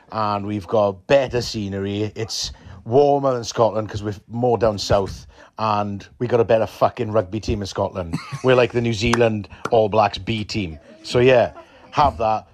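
Cheeky Welsh man, voice message. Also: lowish voice. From Wales. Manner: cheeky, boisterous, swears freely. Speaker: listener sending a voice message. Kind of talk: recorded voice message.

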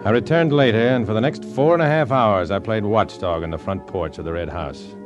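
An actor playing a guarded, dry-witted undercover agent and narrator in 1950s radio drama style, terse and cool. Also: male voice, on the low side, brisk, music in the background, lightly acted. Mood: tense narration. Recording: old 1950s radio recording.

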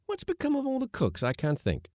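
A man's voice: very high voice, huge pitch swings, fairly quick, a little mellow, no echo, studio quality, voice pushed up, exaggerated voice. A man voicing a gloomy, prim ship's mate who talks with laboured sarcasm in a storybook sea tale.

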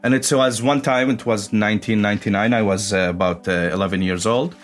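A man, an Arabic speaker, telling his story as an interviewee with many hesitant pauses. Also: on the low side, steady tone, faint music behind. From Iraq. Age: 30s. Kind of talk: personal storytelling.

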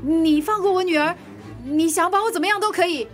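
A woman's voice: a little fast, faint music behind. A woman voicing a fierce, protective middle-aged mother who scolds and pleads with an attacker. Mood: pleading. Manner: shrill, scolding.

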